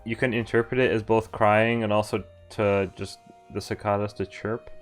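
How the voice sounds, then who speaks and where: A man's voice: on the low side, little pitch movement, normal speed. A man streaming a game, reading a visual novel aloud and adding playful, chatty commentary that wanders into asides. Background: game music.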